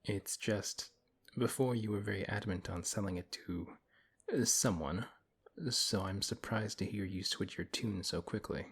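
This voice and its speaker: A very low, husky voice for a big, strong paladin, acted by a man in a fantasy audio roleplay. He talks one-to-one in a measured, earnest manner, pausing as he thinks. He comes across as principled and gently protective. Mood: serious, questioning. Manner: measured, earnest, hesitant pauses.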